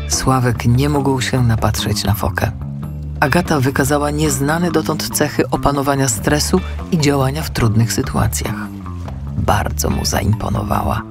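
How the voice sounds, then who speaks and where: Male children's audiobook narrator: medium pitch, animated delivery, creaky edge, music underneath. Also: moderate pace. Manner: expressive storytelling.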